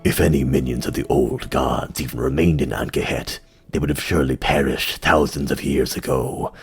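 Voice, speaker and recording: A man's voice: very deep voice, steady tone, moderate pace, soft background music, pitched below the performer's own voice. A man voicing a stern, weary and regal insectoid king who speaks with grave, formal command. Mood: weary, firm.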